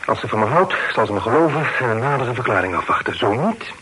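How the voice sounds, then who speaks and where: Casting: a lovelorn, troubled young gentleman for period radio drama; sharp, hesitant delivery, faint hiss. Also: mid-range voice, sing-song, fast talker, rough recording. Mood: resigned, earnest. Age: young adult. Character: troubled young gentleman in love, earnest, wrongly suspected. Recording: hissy old radio play recording.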